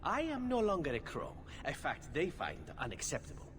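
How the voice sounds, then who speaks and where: A man's voice: on the high side, huge pitch swings, moderate pace, a bit bright. A man playing a suave, flirtatious elf assassin in a video game, with a playful, theatrical delivery. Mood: boastful, wry. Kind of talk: video game character acting. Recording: noisy ambience, long reverb.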